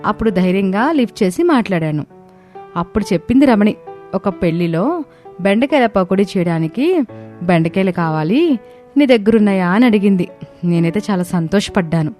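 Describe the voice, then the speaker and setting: A woman's voice: mid-range voice, animated, normal speed, pauses often, mellow, faint music behind, lightly acted. A woman voicing an earnest, respectful young daughter-in-law who pleads and explains in a family moral story. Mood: explaining. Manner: respectful, persuasive. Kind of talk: dramatised moral story dialogue.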